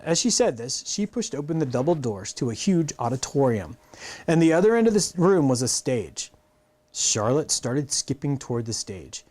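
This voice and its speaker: A middle-aged man reading a children's novel aloud in an animated storytelling style. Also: on the high side. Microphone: clip-on lapel mic.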